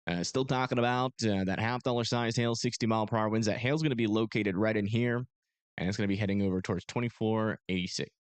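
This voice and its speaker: Man, hoarse and strained voice, casual live-stream host, rambling self-deprecating chatter, dry close mic. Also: on the low side, steady tone, fast, no room sound. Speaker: storm-chasing livestream host. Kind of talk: live radar commentary. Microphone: close desk microphone.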